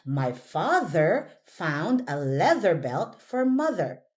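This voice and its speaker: Female pronunciation coach, Filipino accent: encouraging, unhurried, lively intonation. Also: lowish voice. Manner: encouraging, instructive, repeats words slowly. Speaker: English pronunciation teacher. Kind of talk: one-on-one pronunciation drill.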